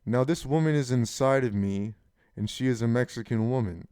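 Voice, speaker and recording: Male, 20s, deep and mellow, casual confessional vlog delivery, frank and rambling, dry close sound. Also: normal speed, clear, resonant tone, dry recording. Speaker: channel host. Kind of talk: rambling personal monologue.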